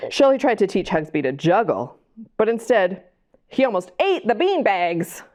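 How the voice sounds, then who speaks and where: A woman hosting storytime, reading a picture book to young children in an animated way, with chatty questions and asides to the listeners. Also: mid-range voice, normal speed. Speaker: library storytime host and reader.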